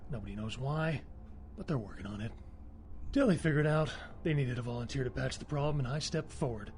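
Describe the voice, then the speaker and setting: A man's voice: mid-range voice, a little fast, a little mellow, slight background noise, natural voice. An actor playing a cocky, trigger-happy cemetery caretaker in a video game, wry and colloquial, swaggering as he talks. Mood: wry, boastful. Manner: wry, swaggering, gleeful about violence, colloquial.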